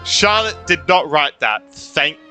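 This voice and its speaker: A man giving casual gameplay commentary, halting and repeating words, with some swearing. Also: on the high side, sing-song, sharp tone, soft background music. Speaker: gamer reacting to a story quest.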